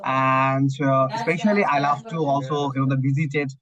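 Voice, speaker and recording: A man's voice: medium pitch, little pitch movement, moderate pace, lo-fi sound, crowd noise. A young man from Bangladesh with a Bangladeshi accent, a student talking as a guest in a casual English practice chat, hesitant and full of "you know that" and "uh". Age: young adult.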